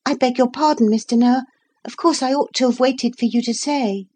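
A woman doing a high earnest little girl. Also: steady tone, darkish tone, slightly rough. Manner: breathless, earnest, quick to apologize. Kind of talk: audiobook character voice.

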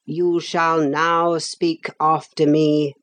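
A woman's voice: low voice, animated, slow pace, slightly rough, exaggerated voice. A woman voicing a solemn, formal male storybook leader with cold, pompous dignity. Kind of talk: storybook character voice.

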